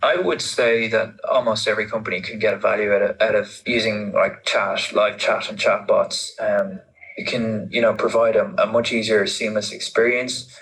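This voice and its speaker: A man's voice: on the low side, steady tone, normal speed, fairly bright tone, rough recording. A man giving informal interview answers, thinking aloud and often repeating words as he explains. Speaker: interviewee, marketing manager.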